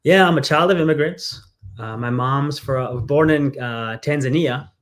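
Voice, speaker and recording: A man talking through an interview in an earnest, storytelling way, often pausing on "uh" as he goes. His voice sits at an ordinary middle pitch for a man. He grew up in England. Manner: earnest, storytelling, frequent fillers. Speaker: congressional candidate. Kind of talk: conversational interview.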